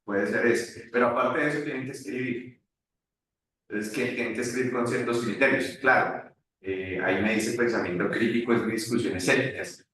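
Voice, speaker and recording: A man teaching at a university, talking in a halting way with many restarts during an online class discussion. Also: on the low side, fairly even intonation, a bit bright, slight background noise. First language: Spanish. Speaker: university lecturer. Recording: echoey room far from mic.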